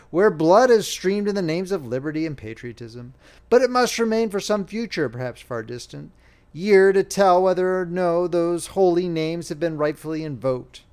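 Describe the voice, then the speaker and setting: A man voicing the careworn old year, a world-weary elder sister in a storybook, speaking gravely and heavily. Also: high-pitched. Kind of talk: storybook character reading.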